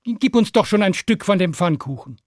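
A man voicing hungry little children in a storybook tale, coaxing and singsong as they flatter their mother. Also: high-pitched, normal speed, no echo, studio quality, pitched above the performer's own voice. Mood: pleading.

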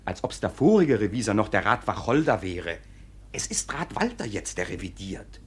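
An actor playing a sly, officious court clerk who tells things with deliberate theatrical care in a classic radio play. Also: male voice, on the low side, very animated, husky, heavily acted. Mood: grave, pointed. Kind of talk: classic German stage comedy as radio play.

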